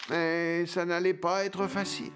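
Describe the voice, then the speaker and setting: A man narrating a children's cartoon as a wry, playful storyteller with expressive delivery. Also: high-pitched, unhurried, over music.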